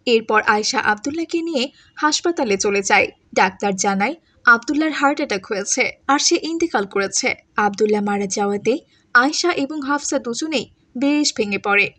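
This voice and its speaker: Female narrator, high voice, scripted storytelling. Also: lively intonation, moderate pace, pushed and bright.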